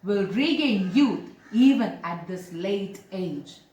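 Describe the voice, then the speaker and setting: A woman storyteller voicing celestial twin physician brothers, male gods who speak in a coaxing, flattering, boastful manner. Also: medium pitch, takes it slowly. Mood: granting a boon. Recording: roomy, distant mic, faint noise.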